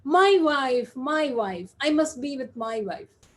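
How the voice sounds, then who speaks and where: A pining husband fish from a storybook fable , acted out by a woman storyteller. The voice is high and pleading, desperately repeating its devotion. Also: expressive, takes it slowly. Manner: repetitive, pleading.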